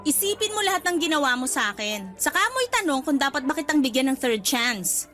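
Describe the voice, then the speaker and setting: A woman's voice: high voice, lively intonation, fairly quick, bright, forceful tone. A woman acting a hurt, defiant young woman still in love, confrontational and emotional, in a radio romance drama. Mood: guarded, bitter. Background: background music.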